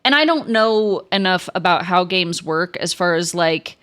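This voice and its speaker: Crackly low-voiced woman streamer. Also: expressive, measured pace, fairly bright tone, smooth. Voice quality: crackly lower voice. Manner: frank, swears a lot, many restarts. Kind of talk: casual chat to stream viewers.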